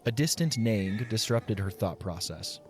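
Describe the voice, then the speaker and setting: A man narrating an audio drama in a measured, expressive storybook style. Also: fairly low pitch, a little hiss or hum.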